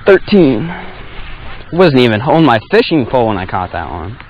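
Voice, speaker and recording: A young man in his twenties, casually teasing and keeping score out loud while fishing from a small boat on a lake. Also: medium pitch, sing-song, moderate pace, highs cut off, a little hiss or hum. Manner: teasing, keeps score aloud. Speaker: fishing vlogger. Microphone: action camera on a chest harness.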